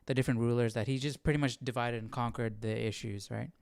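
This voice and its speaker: A man's voice: medium pitch, steady tone, fast talker, vocal fry, no echo, clean studio sound. A man hosting a Bible study livestream, reading aloud and commenting casually, often questioning and checking for agreement.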